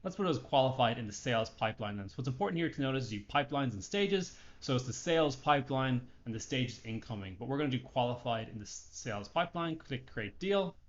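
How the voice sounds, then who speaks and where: Guy doing a screen share tutorial, talks thru each step, kinda dull lo-fi sound, normal pitch. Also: lively intonation, fast talker, hardly any pauses. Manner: explanatory, step-by-step, talking through each action. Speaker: software tutorial presenter. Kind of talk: unscripted screen-share walkthrough narration.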